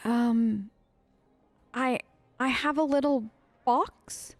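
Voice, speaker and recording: A woman hosting a casual role-play let's play, talking in a playful, theatrical, halting way as her character. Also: a little high, dry recording, faint music behind. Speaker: gaming host, role-playing as her character.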